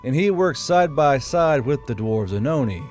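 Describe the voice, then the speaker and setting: A man's voice: medium pitch, animated, moderate pace, a little mellow. A man reading scripted fantasy fiction as an audiobook narrator. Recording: music behind the voice. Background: background music.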